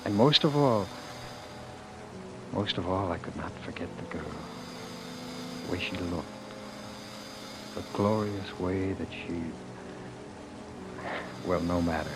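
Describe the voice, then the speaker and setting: An actor playing a meek, dreamy husband who imagines himself a great leader, in earnest, dramatic old-time radio style. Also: male voice, low-pitched, slow, pauses often. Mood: brooding, wistful. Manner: earnest, dramatic, brooding, hesitant. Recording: vintage radio broadcast with music behind.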